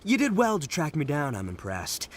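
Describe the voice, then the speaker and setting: A man's voice: fairly high pitch, huge pitch swings, normal speed. A man playing a brash, goofy young gang boss in a video game, boastful and theatrical. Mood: defiant. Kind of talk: video game character acting. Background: music.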